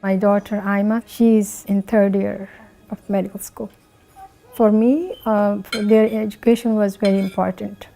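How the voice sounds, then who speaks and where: Soft-spoken middle-aged mother, mellow voice. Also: mid-range voice, little pitch movement, a little slow, vocal fry, faint music behind. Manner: soft, simple non-native phrasing. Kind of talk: documentary interview.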